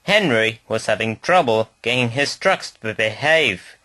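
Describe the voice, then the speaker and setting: A man's voice: mid-range voice, expressive, measured pace, sharp tone, no echo. A man reading a scripted children's story aloud as narrator.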